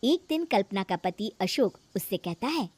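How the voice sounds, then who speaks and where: A woman's voice: medium pitch, very animated, normal speed, darkish tone, husky, dry recording. A woman narrating a scripted moral story as the storyteller.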